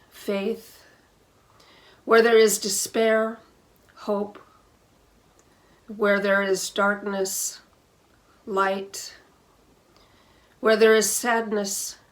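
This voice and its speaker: Slow-spoken woman minister leading prayer. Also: mid-range voice. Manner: measured, deliberate, with pauses between phrases. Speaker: minister leading a church service. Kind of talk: slow guided prayer and benediction.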